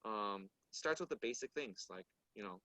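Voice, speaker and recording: Male guest, native Korean speaker, lowish voice with a tense bright edge, dry close sound. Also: lively intonation, dry recording. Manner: measured, thoughtful, frequent restarts. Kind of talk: casual discussion.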